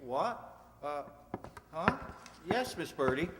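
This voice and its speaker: A man's voice: a little high, big pitch swings, slow pace, a bit bright, full character voice. A man acting a distracted, evasive young college professor in a radio play, hesitant and mumbling. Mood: distracted, polite. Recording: reverberant hall.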